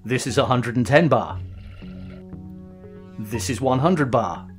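A middle-aged man hosting a diving lesson, giving calm, scripted voice-over instructions and acting out signals as quoted lines. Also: medium pitch, takes it slowly, faint music behind. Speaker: host.

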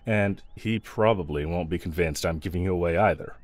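A man's voice: deep voice, fairly quick, no room sound, close to an everyday voice. A man playing a snarky, pragmatic yet protective mercenary escort in a fantasy audio roleplay, talking to the listener in a wry, teasing, laid-back way.

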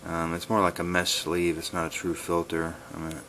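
A man giving a casual, laid-back vlog update in a halting, plain-spoken way, recorded on a handheld camera in a garage. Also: low voice, monotone, a bit bright, slight background noise. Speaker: wood-splitting video blogger. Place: garage, open to outdoors. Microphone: handheld camera's built-in mic.

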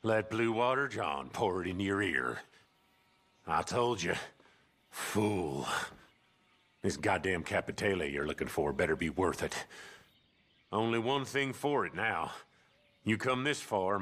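An actor playing a grim, menacing American outlaw in a western video game, with an ominous, taunting drawl. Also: male voice, sits low, expressive, measured pace, pauses often, a bit bright, exaggerated voice. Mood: taunting warning. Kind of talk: video game cutscene monologue.

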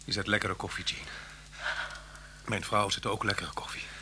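Brooding fugitive husband, low but tense and bright, halting and hushed, old radio drama with hum. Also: male voice, steady tone. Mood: wistful, bitter. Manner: halting, brooding, often dropping to a near-whisper. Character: unhappy, soft-spoken husband on the run from police. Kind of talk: Dutch radio play acting.

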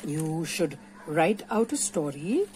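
A woman's voice: low voice, animated, slow pace, dark tone, slight background noise. An Indian-accented woman giving an informal, playful and encouraging step-by-step craft tutorial. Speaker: puppeteer teaching a craft workshop. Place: indoors at a craft table.

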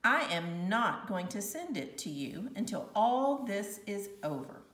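A woman voicing a stern older female grammar teacher in a children's storybook, speaking formally and deliberately. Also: medium pitch, sing-song, a bit bright. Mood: resolute. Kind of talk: children's storybook read-aloud. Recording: echoey room, distant mic.

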